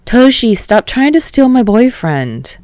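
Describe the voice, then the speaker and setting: A woman's voice: on the high side, sing-song, measured pace, a little mellow, no room sound, clean studio sound, pitched above the performer's own voice. A woman voicing a cheerful, easygoing, enthusiastic teenage boy in an audiobook. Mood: playful protest. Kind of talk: audiobook character voice.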